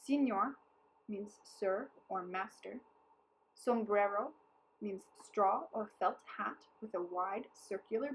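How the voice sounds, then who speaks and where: A woman reading a children's book aloud as narrator, with deliberate, careful enunciation. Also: mid-range voice, slight background noise, low-quality recording. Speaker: storybook reader. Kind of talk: scripted children's story read-aloud.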